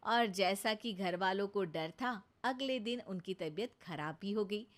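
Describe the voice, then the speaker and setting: A woman's voice: mid-range voice, unhurried. A woman narrating a scripted cartoon family story as the storyteller.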